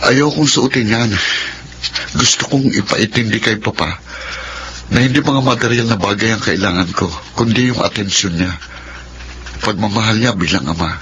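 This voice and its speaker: A man in his twenties playing a neglected, earnest son, polite and quietly resentful, in a Filipino radio drama. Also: on the low side, moderate pace, pauses often, slight background noise, poor audio. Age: 20s. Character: neglected, earnest twenty-year-old son of wealthy father.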